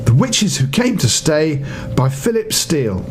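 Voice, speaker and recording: Expressive older man, fairly high lively voice. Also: music in the background. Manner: expressive, dramatic emphasis with drawn-out phrases. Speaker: storyteller. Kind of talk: children's bedtime story reading. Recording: noisy home recording with low rumble.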